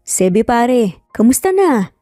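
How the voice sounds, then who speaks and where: A woman's voice: fairly high pitch, big pitch swings, slow pace, warm tone, dry recording, clean studio sound, faint music behind. A woman voicing a breezy, curious man with a casual Filipino accent, mixing in English, in an audio story. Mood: cheerful, curious. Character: breezy, curious male cousin and best friend.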